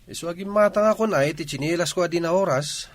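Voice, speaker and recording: A man's voice: high voice, fast, natural voice. A man playing a mildly aggrieved Ilocano village husband, talking conversationally in a comedy radio drama. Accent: Filipino, Ilocano.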